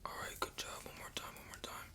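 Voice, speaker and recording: Guy whispering asmr doctor exam roleplay, breathy, keeps repeating little instructions and soft reassurances. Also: fast. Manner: soft repetitive reassurance, instructing the listener step by step. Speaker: ASMR roleplayer playing a medical examiner.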